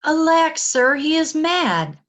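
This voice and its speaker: Woman acting an anxious old tenant, very lively pitch. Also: slow pace, heavily acted. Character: loyal elderly tenant, anxious and kindly. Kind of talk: remote readers' theatre Shakespeare performance.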